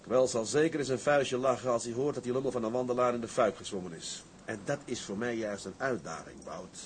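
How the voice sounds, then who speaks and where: An actor playing a daring, stubborn tugboat captain in a radio drama, firm and matter-of-fact. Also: male voice, mid-range voice, fairly quick, close to an everyday voice. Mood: stubborn, determined. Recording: clear ambient noise behind.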